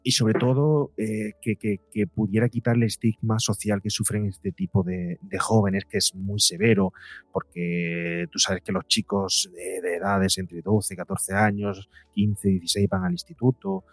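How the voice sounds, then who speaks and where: A man's voice: fairly low pitch, steady tone, unhurried, no echo, faint music behind. Man from explaining, dry close sound. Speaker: psychologist and podcaster, interview guest. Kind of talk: explanatory interview talk. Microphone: close podcast mic, seated at a table.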